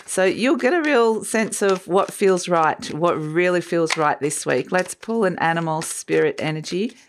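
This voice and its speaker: A woman giving a casual, musing tarot reading, her voice a little croaky from a cold, often trailing off and restarting. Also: lowish voice, expressive, unhurried, a little hiss or hum. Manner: warm, musing, trails off and restarts, light laughs. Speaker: tarot reader. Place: sunny room by a window, indoors.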